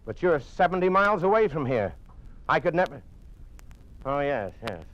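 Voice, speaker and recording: A man's voice: on the high side, very animated, normal speed, fairly bright tone. A man playing a defiant, suspicious doctor in a police state, blunt and challenging, in an old-time radio drama. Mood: grave, restrained. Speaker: actor. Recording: dull, lo-fi old radio recording.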